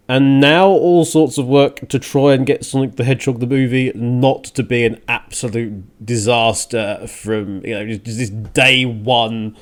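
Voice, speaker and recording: Man hosting a rambling, casual radio show. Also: mid-range voice. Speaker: radio show and podcast host. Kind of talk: casual solo podcast talk, partly ranting.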